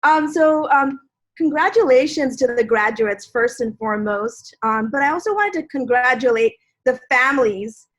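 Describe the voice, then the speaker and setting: A woman's voice: high-pitched, animated, normal speed, muffled recording. A woman in her forties, born in Bangladesh, a law professor giving an earnest, reflective personal speech at an online panel. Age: 40s.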